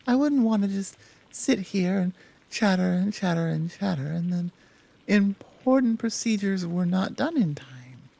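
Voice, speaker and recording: A woman voicing a gentle, teasing Amazon healer in a soft, intimate ASMR roleplay, speaking in a soothing, coy, deliberate way. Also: mid-range voice, expressive, gravelly.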